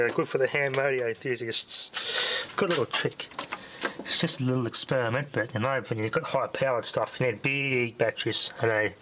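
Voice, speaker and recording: A man casually talking through a hands-on demonstration, rambling and muttering to himself as he works. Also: mid-range voice. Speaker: hobbyist vlogger testing radios. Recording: loud noise with handling clicks.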